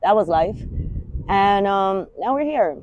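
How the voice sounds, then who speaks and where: A woman's voice: medium pitch, expressive, takes it slowly, muffled recording, a little hiss or hum. A woman, a fitness coach talking candidly in a rambling, casual vlog and swearing freely. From Washington Heights, New York. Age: 30s.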